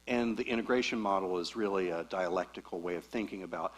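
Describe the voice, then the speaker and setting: Low-voiced man explaining psychology. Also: fast. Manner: clear, explaining concepts patiently. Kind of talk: explanatory talk at a church event.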